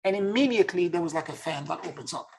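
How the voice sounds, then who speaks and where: A man's voice: sits high, expressive, quick pace, gravelly, darkish tone, a little hiss or hum. A man from Haiti, a spiritual teacher, giving an earnest, introspective monologue and searching for his words as he goes. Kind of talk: reflective spoken monologue.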